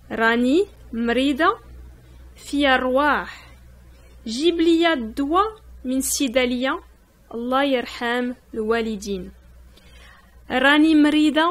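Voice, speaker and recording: Woman, language teacher, high voice, very slow, repeats each word then translates. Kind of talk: slow spoken language lesson. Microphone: voice-over on text slides.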